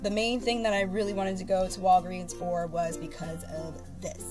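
A woman's voice: fairly low pitch, little pitch movement, normal speed, over music. A young woman in her twenties, congested from a cold, rambling casually with many fillers as she talks to her webcam at home. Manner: rambling, lots of fillers and restarts. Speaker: beauty vlogger. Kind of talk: casual haul vlog to camera. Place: bedroom at home, indoors. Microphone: webcam at arm's length.

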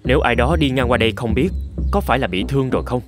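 A courteous young samurai voiced by a man talks gently and considerately. He is a cartoon eldest son with a mid-pitched voice over loud music. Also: very fast, lightly acted. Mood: puzzled. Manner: polite, considerate. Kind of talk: dubbed cartoon storytelling.

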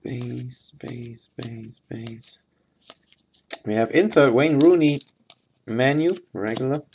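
A man speaks slowly in an ordinary mid-range voice as he hosts a live card break. His commentary is casual and halting, with matter-of-fact phrases that tend to trail off. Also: medium pitch, a little mellow, slight background noise. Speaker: host of sports card box breaks. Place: indoors at a tabletop.

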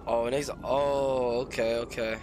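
American man, medium pitch, casual gameplay chat, slangy, profane, repeated phrases, noisy siren-filled game audio. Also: little pitch movement, measured pace, darkish tone. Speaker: game streamer.

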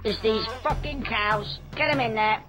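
Foul-mouthed dung beetle, high-pitched, bossy and brusque, game cartoon with music behind. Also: male voice, a little slow. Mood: bossy, irritated. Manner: blunt, crude, brusque.

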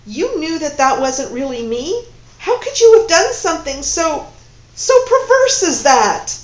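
A woman voicing a distraught, betrayed woman who shouts accusations through tears. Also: high voice, sing-song, a little slow, pitched above the performer's own voice. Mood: furious. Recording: dull, roomy recording with faint hum.

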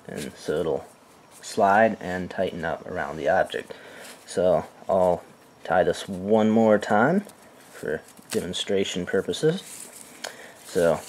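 A man calmly talking through how to tie knots step by step, filmed indoors with the camera looking down at his hands. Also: low-pitched, slight background noise. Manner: calm, unhurried, with soft fillers. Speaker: knot-tying instructor. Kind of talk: step-by-step demonstration. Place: indoors, filming hands over a carpeted floor.

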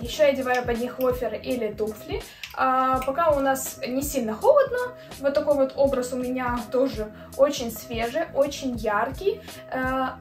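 A woman's voice: high-pitched, a little mellow. A woman in her twenties, a fashion vlogger, chatting casually and upbeat about outfits, recorded indoors by a camera at medium distance. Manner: chatty, upbeat, frequent fillers. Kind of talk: casual outfit try-on chat. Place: indoor room with clothing racks. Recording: roomy echo with faint music.

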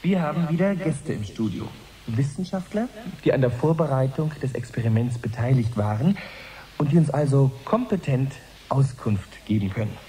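An actor playing an earnest live radio reporter in a radio play, formal, explanatory and expectant. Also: male voice, mid-range voice, lively intonation, moderate pace, mellow, close to an everyday voice. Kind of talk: German radio play acting as a live broadcast.

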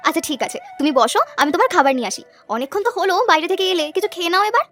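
A woman acts out a hardworking young village wife for a cartoon. The voice is very high and lively, with quick delivery. The manner is cheerful, affectionate and eager to please. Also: faint music behind. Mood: reassuring. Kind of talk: cartoon dubbing.

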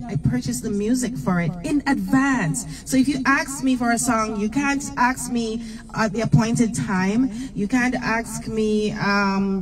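A woman in her thirties, a gospel singer, chatting live with viewers from a parked car into a handheld mic, lively and greeting people by name. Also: a little high, recorded from a distance. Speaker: gospel singer hosting a morning livestream. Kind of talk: casual live chat between songs. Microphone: handheld microphone.

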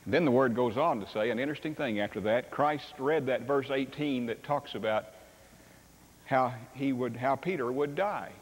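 An older man preaching a sermon on a church stage, animated and acting out dialogue. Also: mid-range voice, moderate pace, slightly rough. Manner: animated, acts out dialogue with sweeping gestures. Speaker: pastor. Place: large church sanctuary stage. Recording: long reverb of a large hall.

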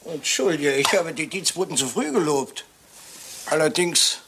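A man's voice: on the high side, lively intonation, normal speed. A man playing a shrewd, courteous detective with a dryly ironic, probing manner in a German radio crime drama. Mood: dryly superior. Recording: hissy, noisy old radio play recording.

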